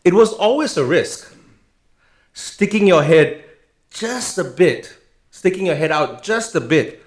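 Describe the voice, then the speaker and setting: A middle-aged man giving a formal speech of introduction as a host, telling anecdotes along the way. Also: medium pitch. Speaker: host introducing a guest lecturer. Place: indoor public lecture event. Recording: roomy, echoing event venue sound.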